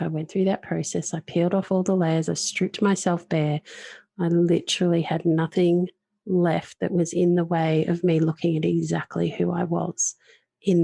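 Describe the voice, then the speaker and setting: A woman's voice: on the low side, gravelly, warm tone, dry recording. A woman hosting a podcast, talking earnestly and reflectively about her own experiences. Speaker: podcast host.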